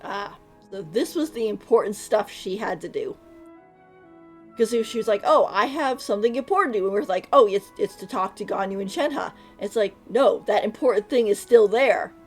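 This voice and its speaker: A woman's voice: mid-range voice, moderate pace. A woman streaming a game, giving casual live commentary and thinking aloud in a rambling way. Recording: faint game music behind.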